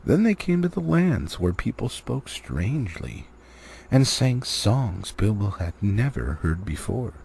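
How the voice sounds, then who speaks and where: A man's voice: on the low side, big pitch swings, measured pace, vocal fry, darkish tone, a little hiss or hum. A man reading a fantasy novel aloud expressively, like an amateur audiobook, with the odd stumble. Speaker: amateur storybook reader.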